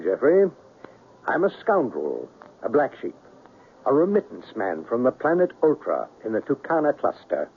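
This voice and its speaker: An actor playing a worldly exiled alien scoundrel in a 1970s radio drama, measured and declamatory, commanding yet fatherly. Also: mid-range voice, breathy edge, heavily acted. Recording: muffled, noisy old radio broadcast.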